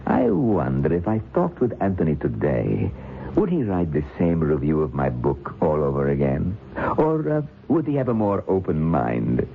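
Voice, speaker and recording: Suave, gravelly sinister occultist. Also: male voice, a bass voice, wildly swooping pitch, normal speed, full character voice. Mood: mocking. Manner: pompous, formal, silky politeness with hesitations. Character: suave, sinister occultist and author, menacing and condescending. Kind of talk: old-time radio drama acting. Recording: muffled, hissy old radio drama recording.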